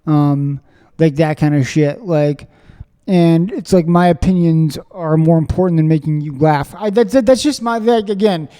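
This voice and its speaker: High-voiced man with rambling, excitable talk. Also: smooth, long reverb tail. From Springfield, Missouri, USA. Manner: rambling and excitable, many fillers and restarts. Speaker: podcast host. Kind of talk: casual conversational interview.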